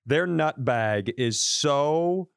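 A man's voice: a little high, animated, very slow, vocal fry, clear, resonant tone, no echo, clean studio sound. A man co-hosting a podcast in casual comedic chat, telling exaggerated stories with plenty of swearing. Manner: wry, exaggerated storytelling with profanity. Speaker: podcast co-host.

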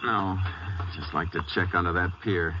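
An actor playing a calm, shrewd Texas Ranger with an American accent and laconic manner in a 1950s radio western. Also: male voice, deep voice, moderate pace, close to an everyday voice. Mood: calm, reasoning. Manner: laconic, understated. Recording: noisy, dull old radio recording.

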